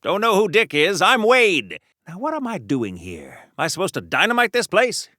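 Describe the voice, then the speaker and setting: An actor voicing a chipper, naive old-fashioned superhero for a video game, with theatrical, politely oblivious, mock-formal delivery in a 1940s radio style. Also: male voice, sits high, wildly swooping pitch, moderate pace, pushed and bright, no echo, clean studio sound, full character voice. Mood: breezy, quizzical.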